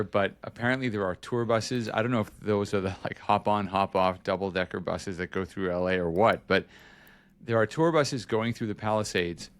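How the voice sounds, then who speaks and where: A man with a fairly low voice hosts a podcast, running through news updates in a relaxed, conversational way. He corrects himself as he goes and keeps the talk informal. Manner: conversational, self-correcting, chatty. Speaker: podcast host and journalist. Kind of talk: casual news chat.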